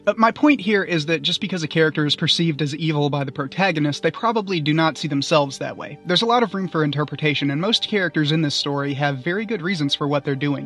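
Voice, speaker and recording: A man's voice: on the high side, quick pace, hardly any pauses, a bit bright, soft background music. A man delivering scripted review commentary in an analytical manner, in long winding sentences. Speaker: guest reviewer.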